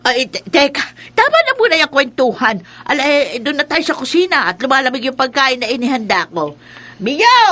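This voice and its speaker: A woman's voice: high-pitched, big pitch swings, normal speed, sharp tone, exaggerated voice. A woman playing a feisty, doting old grandmother in a radio drama, folksy and exclamatory. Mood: bustling, hospitable. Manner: folksy, exclamatory, teasing and fussing.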